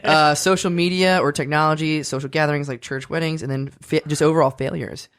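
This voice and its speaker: A man's voice: fairly high pitch, steady tone, a little fast, fairly bright tone. A man talks as the producer on a casual comedy podcast. His delivery is informal and conversational, as if chatting among friends.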